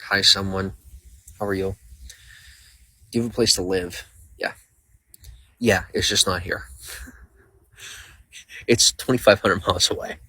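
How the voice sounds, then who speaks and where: A man's voice: sits low, fairly even intonation, unhurried, long pauses, bright, forceful tone, husky, low-quality recording. A young man streaming live, rambling casually while greeting viewers by name and reading out their comments. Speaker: livestreamer.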